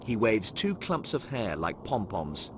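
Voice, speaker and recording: A man's voice: lowish voice, sing-song, measured pace, slight background noise. A man narrating a scripted comic audiobook, reading scenes and stage directions.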